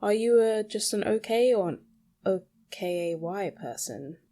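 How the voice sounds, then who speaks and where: A woman's voice: medium pitch, animated, a little slow, mellow. A woman rambling casually as a solo podcast host, with a self-deprecating, mildly sweary way of talking.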